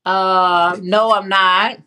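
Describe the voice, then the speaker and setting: A woman's voice: mid-range voice, fairly even intonation, extremely slow, pushed and bright. A woman with a Chicago accent hosting a casual live chat, rambling and answering viewer comments. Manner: chatty, rambling, frequent fillers and restarts. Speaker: livestream host.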